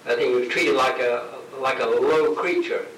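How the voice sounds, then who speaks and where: A man introducing speakers at a rally, halting with restarts as he talks. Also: medium pitch, fairly bright tone. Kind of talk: public address at a rally. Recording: echoey, distant, noisy outdoor recording.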